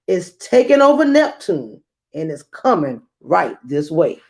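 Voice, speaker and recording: A woman's voice: fairly low pitch, very animated, a little slow, vocal fry, darkish tone, exaggerated voice. A woman voicing a gloomy, frightened alien king from a children's storybook in a pleading, dismayed manner. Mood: panicked pleading. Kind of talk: storybook character voice.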